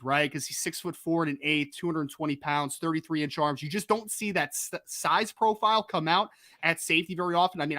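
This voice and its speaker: A man hosts a casual live podcast. He speaks in a lively, chatty way, with a fairly high and bright voice. His voice sounds dry and close, with no room echo around it. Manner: energetic and chatty, with quick restarts. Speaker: podcast host.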